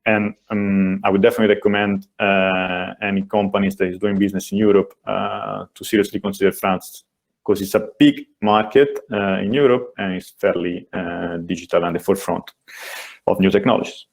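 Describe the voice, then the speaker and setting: A man with an Italian accent, a venture investor answering as a panelist in a webinar in a measured, thoughtful way. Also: low-pitched, level tone, gravelly. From Italy.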